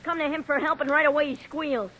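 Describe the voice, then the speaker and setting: A woman's voice: high voice, fairly even intonation, fast talker, a bit bright. A woman voicing a tough but frightened teenage gang boy who talks in streetwise slang, in 1940s radio acting style. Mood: bitter. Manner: streetwise slang, sneering then pleading.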